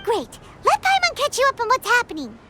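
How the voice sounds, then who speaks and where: An actress voicing a tiny, chatty, excitable girl companion who talks about herself in the third person, in a game cutscene. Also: brisk, exaggerated voice. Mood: chirpy. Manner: refers to herself in third person, squeaky and animated. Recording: game cutscene with loud music.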